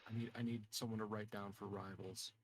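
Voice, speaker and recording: A man's voice: on the low side, level tone, brisk, breathy edge, dry recording, low-quality recording. A man chatting casually as a player in a tabletop game group, with joking, crude, sweary interjections. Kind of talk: casual chat.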